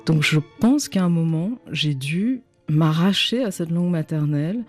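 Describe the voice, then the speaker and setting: A woman's voice: low-pitched, animated, normal speed, a little mellow, soft background music. A woman novelist whose first language was Chinese, speaking in a radio studio interview, reflective and searching for words. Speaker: novelist guest.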